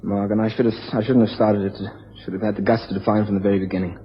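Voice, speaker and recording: A man's voice: fairly low pitch, flat delivery, natural voice. Man as a desperate husband, echoey reverb. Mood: despairing. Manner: halting, grimly determined. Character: desperate blackmailed husband, protective and resolute. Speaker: actor in a radio mystery. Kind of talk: radio drama dialogue. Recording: muffled, echoey room, long reverb, faint noise.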